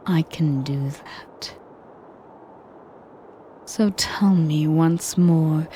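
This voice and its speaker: Female performer as sadistic, possessive dragoness for audio roleplay: lowish, breathy half-whisper, extremely unhurried, imperious and condescending. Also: mellow, a little hiss or hum. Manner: pompous, condescending. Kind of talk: scripted audio roleplay monologue.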